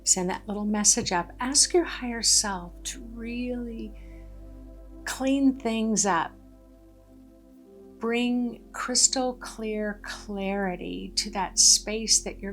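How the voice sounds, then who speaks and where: A woman leading a guided meditation in a gentle, deliberate way, pausing between short phrases. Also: mid-range voice. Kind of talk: guided meditation, soothing narration. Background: background music.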